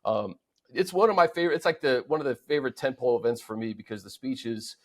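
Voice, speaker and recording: Casual mid-pitched male sports host. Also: quick pace. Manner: conversational, informal.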